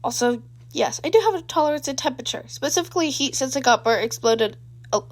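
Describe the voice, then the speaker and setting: A woman's voice: sits high, animated, quick pace. A woman voicing a cheerful, reckless, chatty teenage boy in a fan fiction reading, eager and blurting. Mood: defensive, eager.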